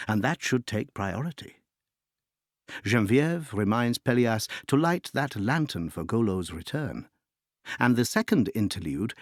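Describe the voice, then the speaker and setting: Male narrator for a music guide, low voice, very varied intonation, literary storytelling delivery, close dry studio sound. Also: dry recording. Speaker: narrator of an opera introduction. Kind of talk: scripted narration.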